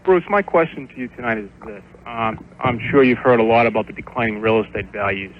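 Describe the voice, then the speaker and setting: A man's voice: medium pitch, a little fast. Polite male caller on muffled noisy line. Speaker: radio show caller. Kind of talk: polite, formal phone-in question. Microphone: telephone. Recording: muffled, slightly noisy phone radio call.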